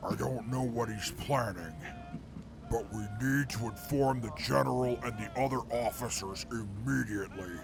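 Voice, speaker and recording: An actor playing a reformed male mutant soldier in an audio drama, speaking in a formal, measured way. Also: medium pitch, gravelly, natural voice. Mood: grave. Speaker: former enemy scout now with the crew.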